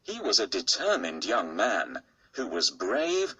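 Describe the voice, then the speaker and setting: A man narrating a children's fairy tale in a slow, soft storytelling manner, with a husky voice. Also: lowish voice, sing-song, rough recording. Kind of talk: storybook read-aloud.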